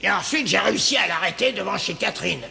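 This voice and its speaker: A man playing an excitable, officious policeman in a cartoon, urgent and self-important. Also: high voice, fast, creaky, exaggerated voice. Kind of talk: animated cartoon dialogue. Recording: long reverb, music behind.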